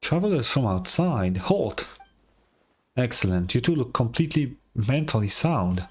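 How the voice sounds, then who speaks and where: A man's voice: medium pitch, very animated, a little slow, breathy edge. Game casting: man as friendly town club member, courteous, a touch flustered, medium register. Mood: brisk. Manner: hesitant, polite, questioning. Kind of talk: game dialogue read in character.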